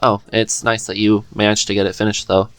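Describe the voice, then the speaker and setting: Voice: medium pitch, flat delivery, a little fast, clean tone, no echo, faint music behind. A podcast host chatting casually in an interview. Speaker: podcast host and script writer.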